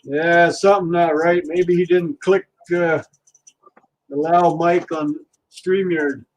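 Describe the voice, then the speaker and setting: A man's voice: a little high, fairly even intonation, slow pace, low-quality recording. A man hosting a casual livestream, chatting easily with viewers while he works on scrap. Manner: easygoing, chatty, talks to viewers while working. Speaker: livestream host.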